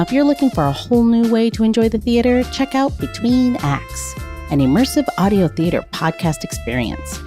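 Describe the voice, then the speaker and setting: Lively podcast co-host woman. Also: medium pitch. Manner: upbeat, promotional. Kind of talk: podcast hosting and outro read.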